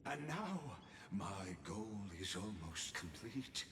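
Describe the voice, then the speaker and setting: An actor playing a calm, scheming, erudite villain in a video game, speaking in a pompous, silky, theatrical way with murmured phrase endings. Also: male voice, on the low side, slow, roomy sound, a little hiss or hum, exaggerated voice. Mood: calm, assured. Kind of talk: theatrical scripted game dialogue.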